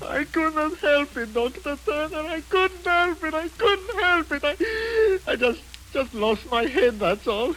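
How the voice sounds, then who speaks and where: A man's voice: very high-pitched, lively intonation, a little slow, few pauses, poor audio. An actor playing a weak, cowardly young man who pleads and whines, in 1940s radio acting on an old broadcast. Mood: tearful. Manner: pleading, whining and tearful. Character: weak, whimpering young man under his aunt's thumb.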